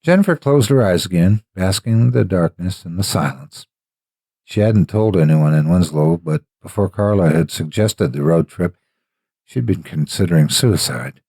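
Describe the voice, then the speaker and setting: A man narrating a scripted horror story with deliberate, ominous, dramatic phrasing. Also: sits low, lively intonation, warm tone, breathy edge, no room sound, studio quality.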